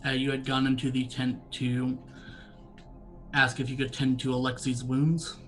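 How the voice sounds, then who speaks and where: A man running a tabletop game as dungeon master, narrating in a measured, even storytelling voice from a home setup. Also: mid-range voice, faint music behind, low-quality recording.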